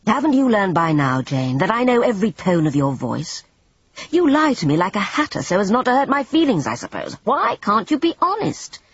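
A storybook reader voicing a proud male painter who turns bitter and impatient, speaking with sweeping, forceful lines. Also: medium pitch, slightly rough, no echo, pitched above the performer's own voice. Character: proud, touchy male painter, quick to furious anger. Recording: dull lo-fi dry recording.

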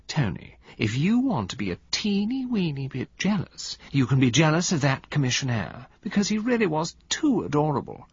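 Breezy self-absorbed society woman read by a man, sweet drawl, pitched well up and swooping, dull dry audiobook sound. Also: sing-song, normal speed, few pauses, husky, no echo, heavily acted. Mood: gushing.

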